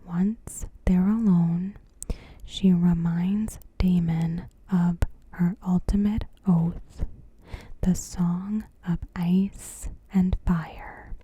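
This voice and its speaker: A woman narrates in a hushed ASMR whisper, extremely slow and careful. Her voice is very soft and mellow, full of breath, as she reads a scripted recap without any hurry. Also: on the low side, fairly even intonation, slightly rough, rough recording. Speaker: ASMR creator.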